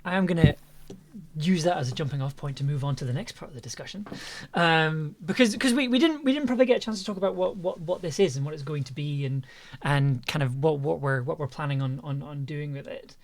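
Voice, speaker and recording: A man hosting a stream, casually addressing viewers in a halting way, repeating words as he finds his phrasing. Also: fairly high pitch. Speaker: host and audio producer.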